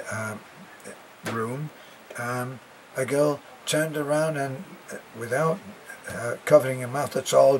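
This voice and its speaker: A man's voice: mid-range voice, a bit bright, a little hiss or hum, low-quality recording. An older British man with a cough and a congested voice, chatting casually to camera as an expat vlogger, rambling with many fillers and restarts. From Britain.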